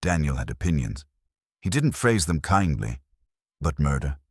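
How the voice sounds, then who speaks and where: A man's voice: very deep voice, very animated, moderate pace, breathy edge, dry recording, studio quality, heavily acted. Man voicing a curt fiftyish woman office manager. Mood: guarded, dry. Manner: curt, practical. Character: brisk, no-nonsense fiftyish female office manager. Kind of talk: audiobook character voice.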